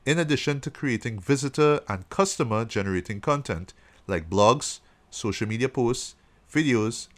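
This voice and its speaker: A man reading a scripted promotional advert as an announcer. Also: lowish voice.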